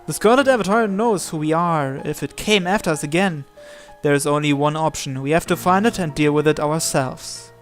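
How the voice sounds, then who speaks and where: A man with a fairly high voice reads story text from a visual novel aloud. He narrates line by line and sometimes trips on a word and repeats it. Music plays behind him. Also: moderate pace. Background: background music.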